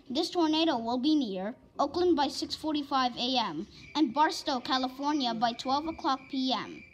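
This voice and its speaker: A woman's voice: high-pitched, a little hiss or hum, rough recording. A woman announcer reading a scripted emergency weather warning in a formal bulletin manner.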